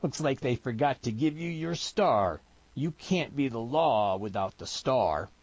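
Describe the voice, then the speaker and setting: A man's voice: mid-range voice, lively intonation, a little slow, slightly rough, dry recording, lo-fi sound, pitched above the performer's own voice. A man voicing a big, brutish mountain man outlaw in a Western story, with a folksy rural American accent. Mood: taunting. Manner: folksy drawling threats and stammering pleas. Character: big brutish mountain man outlaw, swaggering bully turned craven pleader.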